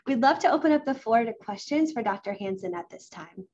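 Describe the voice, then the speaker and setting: A woman's voice: fairly high pitch, animated, brisk, a little mellow. A woman moderating a Q&A over a video call, gracious and formal. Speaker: event moderator. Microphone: video call webcam. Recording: dull webcam audio with faint hiss.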